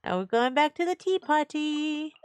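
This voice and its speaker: A woman's voice: high voice, moderate pace, no echo. A woman livestreaming a game with casual, gushing, enthusiastic reaction commentary. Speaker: game streamer.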